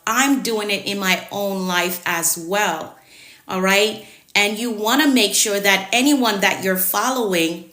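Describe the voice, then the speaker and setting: A woman's voice: medium pitch, sharp tone, reverberant. A woman in her late thirties with a Jamaican accent, talking casually and directly as a coach on a live stream. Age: 30s. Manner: chatty, direct, teacherly. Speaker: productivity coach.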